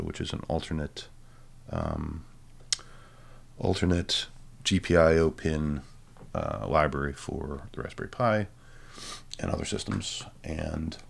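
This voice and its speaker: Very deep-voiced, gravelly man. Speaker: presenter of a hobby electronics project. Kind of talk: casual tech demo narration.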